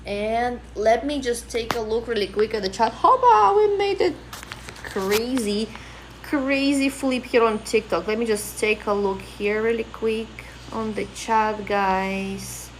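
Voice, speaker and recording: A woman's voice: a little high, animated, unhurried, roomy sound, a little hiss or hum, low-quality recording. A woman from Russia in her thirties, speaking English with a Russian accent in a rambling, casual livestream. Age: 30s. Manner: non-native English, rambling. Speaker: livestream host.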